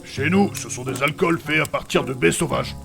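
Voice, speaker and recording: Man playing a gravelly, macho fantasy barbarian. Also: on the high side, moderate pace. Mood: matter-of-fact. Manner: blunt, sardonic, slangy. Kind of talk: fantasy comedy audio drama. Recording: music behind the voice.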